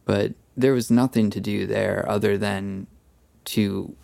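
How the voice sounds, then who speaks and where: A man in his thirties, a podcast guest, talking casually and haltingly with many fillers. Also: lowish voice, steady tone, vocal fry, a little mellow, no echo. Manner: trained voice. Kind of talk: casual chat.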